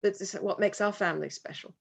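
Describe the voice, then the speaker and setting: A woman speaking as a parent at a conference, giving a reflective presentation with slides, pausing and restarting as she goes. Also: mid-range voice, creaky, husky. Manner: earnest, reflective, with pauses and restarts. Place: conference hall.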